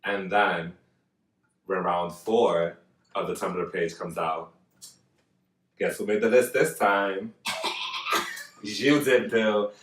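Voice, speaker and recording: A man hosting a podcast, chatting casually in an animated, gossipy way and cursing freely. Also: deep voice, slow, pauses often, echoey room, distant mic. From Dominican family, learned Spanish first. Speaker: podcast host. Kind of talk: casual chat.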